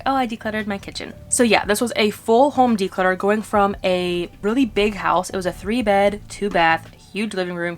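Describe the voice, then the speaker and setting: A young woman talking in a casual, upbeat, informal way, as a vlogger addressing her viewers. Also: medium pitch. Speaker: vlogger hosting a home declutter channel. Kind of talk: casual chatty vlog.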